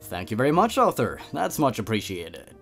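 A man's voice: lowish voice, wildly swooping pitch, moderate pace, vocal fry, soft background music. A man hosting a comedic story reading, theatrical and amused in his reactions. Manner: theatrical, amused, chuckles between lines. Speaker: host of a creepypasta reading channel.

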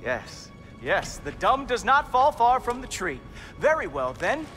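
An actor playing a condescending, cruelly taunting godly bully in a video game, with a theatrical, mocking, drawn-out delivery. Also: male voice, very high-pitched, sing-song, pushed and bright, faint music behind, heavily acted. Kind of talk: video game cutscene acting.

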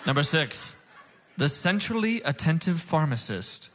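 A man's voice: a little high, big pitch swings, a little slow, heavy background noise. A man hosting a comedy game show on stage, with a showy, emphatic announcer delivery. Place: stage before a live audience.

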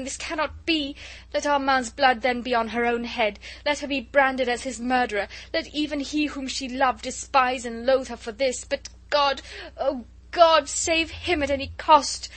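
A woman's voice: high voice, fairly even intonation, unhurried, fairly bright tone, pitched above the performer's own voice. A shrieking, desperate young noblewoman. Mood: anguished. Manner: frantic shrieking and sobbing.

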